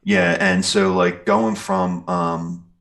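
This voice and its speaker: A man speaking as a musician guest in a casual interview, telling stories in a rambling, halting way. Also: sits low, level tone, crowd noise. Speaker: guest, metal band singer and guitarist. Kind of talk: casual interview chat, recounting festival gigs.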